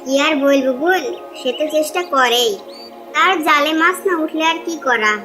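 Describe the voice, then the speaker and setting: A woman's voice: sits high, normal speed, sharp tone, soft background music. A woman playing a poor, envious wife in a cartoon, complaining with a sarcastic edge. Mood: resigned, envious. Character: discontented, envious, scolding poor fisherman's wife. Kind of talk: cartoon dialogue.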